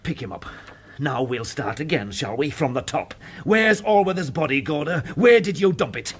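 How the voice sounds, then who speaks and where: An actor playing a brutal, menacing police interrogator with a British accent, curt and commanding, in a radio drama. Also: male voice, on the high side, lively intonation, moderate pace, slight background noise, natural voice.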